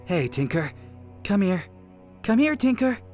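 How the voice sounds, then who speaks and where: A man's voice: sits high, a little slow, faint music behind. A man playing an eager young stable boy in an audio drama. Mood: coaxing. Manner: excitable, wistful.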